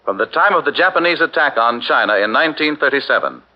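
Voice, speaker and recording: A man narrating a 1940s radio documentary drama in a measured, dramatic way. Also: medium pitch, lively intonation. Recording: dull 1940s radio with music.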